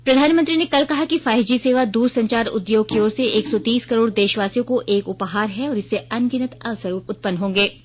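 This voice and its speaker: Woman newsreader, Indian accent, fairly high pitch, formal scripted bulletin delivery, slightly dull lo-fi broadcast sound. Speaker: news reader. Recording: dull, band-limited radio broadcast sound.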